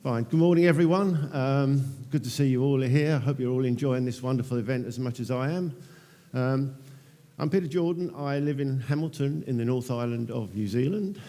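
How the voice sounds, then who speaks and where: A man in his fifties with an English accent, giving a relaxed technical conference talk full of ums and dry self-deprecating asides. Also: medium pitch, normal speed. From England. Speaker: conference presenter. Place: large conference hall. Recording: long hall reverb.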